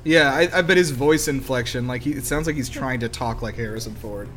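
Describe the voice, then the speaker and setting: A man's voice: mid-range voice, a little fast. A man chatting casually and jokingly as he comments on a film as co-host. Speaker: podcast co-host. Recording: loud film soundtrack playing behind. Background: film music and dialogue.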